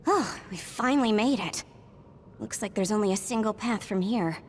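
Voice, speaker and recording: An actress playing a spirited, earnest young heroine in a video game, with an expressive, concerned manner. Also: female voice, medium pitch, normal speed, a little hiss or hum, natural voice. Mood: earnest curiosity.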